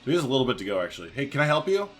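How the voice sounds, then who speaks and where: Animated male gamer commentating casually. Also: mid-range voice, very fast, faint music behind. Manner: chatty, informal, thinks aloud while playing. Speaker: gaming video host. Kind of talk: casual let's-play commentary. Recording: faint background noise.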